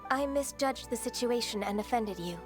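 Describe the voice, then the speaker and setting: A woman voicing a solemn female official from a game, measured and formal. Her voice sits fairly high and stays politely cool. Faint music plays behind. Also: natural voice.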